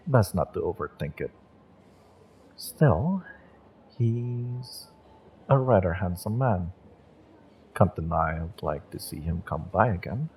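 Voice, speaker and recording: A man playing a kind, wistful witch and tarot shopkeeper in a roleplay audio, speaking to the listener in a gentle, encouraging, unhurried way. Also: sits low, lively intonation, slight background noise, exaggerated voice. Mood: musing, smitten.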